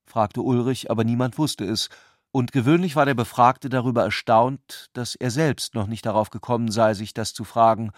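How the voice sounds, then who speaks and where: A man narrates a literary radio play at a middle pitch. His delivery is measured and articulate, recorded very close and dry with no room around it. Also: fairly even intonation, no echo.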